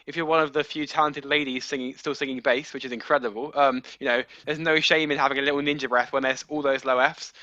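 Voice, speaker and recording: A man giving practical, encouraging advice to bass singers in a choir rehearsal. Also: steady tone, fast, hardly any pauses, bright, forceful tone, no echo, muffled recording. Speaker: bass section coach.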